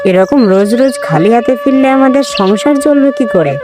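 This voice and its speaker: A man's voice: extremely high pitch, animated, moderate pace, voice pushed up. A man voicing a fed-up, scolding village wife in a cartoon folk tale. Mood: angry, nagging. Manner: nagging, reproachful. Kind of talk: cartoon fairy-tale voice acting. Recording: loud background music.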